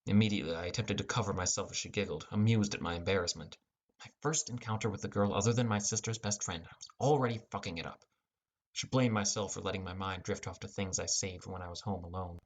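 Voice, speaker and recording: A young man narrates a fantasy adventure in the first person. His voice sits on the low side, and he reads scripted prose as an audiobook storyteller. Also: steady tone, quick pace.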